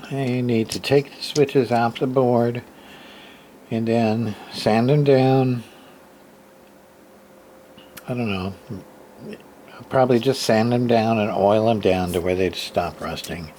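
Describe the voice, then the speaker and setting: Slow-talking man muttering through a repair. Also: on the low side, a little mellow. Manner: rambling, muttering to himself, halting. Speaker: hobbyist radio repairer. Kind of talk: casual hands-on repair narration, thinking aloud. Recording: clear background noise, room ambience.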